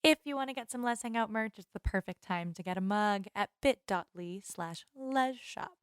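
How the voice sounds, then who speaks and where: A woman co-hosts a casual podcast. Her voice is clean and resonant at a middling pitch. The sound is dry and close, with her talk kept conversational. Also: moderate pace, clear, resonant tone, no echo. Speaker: podcast co-host. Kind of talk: casual podcast chat and sign-off.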